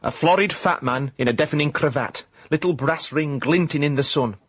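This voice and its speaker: An actor playing a wry ex-miner private eye in a radio comedy, with a South Yorkshire accent and deadpan, mock hard-boiled delivery. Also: male voice, fairly high pitch, moderate pace, slightly rough, no echo. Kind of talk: comic radio drama acting.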